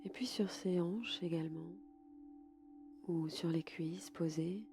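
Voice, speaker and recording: A woman guiding a relaxation session slowly and calmly, in a soft, breathy voice that often nears a whisper. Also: lowish voice, over music. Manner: calm, soothing, unhurried. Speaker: podcast host and yoga nidra guide. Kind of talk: slow, soft guided relaxation.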